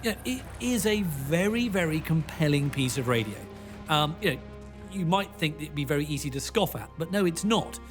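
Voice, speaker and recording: A man's voice: fairly high pitch, animated, normal speed, over music. A man giving informal documentary interview commentary, talking conversationally. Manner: informal, frequent "you know".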